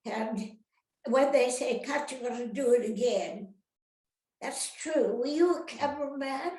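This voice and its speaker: An older woman, an actress reminiscing casually in an interview, rambling and halting, often turning questions on her listeners. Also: high-pitched, darkish tone. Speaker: retired actress being interviewed. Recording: roomy, echoey sound.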